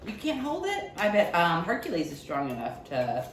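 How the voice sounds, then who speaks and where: An animated woman speaks in an echoey room with noticeable background noise. She tells stories and explains simple steps casually, as a host would to a small child, asking plenty of easy questions. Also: fairly low pitch, normal speed. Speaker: library staff host of a children's story and recipe video. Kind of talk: casual storytelling and cooking demo with a child. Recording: roomy echo, clear background noise.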